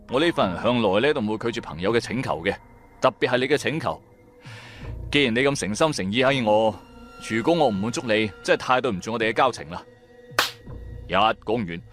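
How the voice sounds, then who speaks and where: A man's voice: medium pitch, fairly bright tone. A man playing a shrewd, wry trader who narrates his own adventures in colloquial, sardonic radio-drama style. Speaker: narrator and lead character.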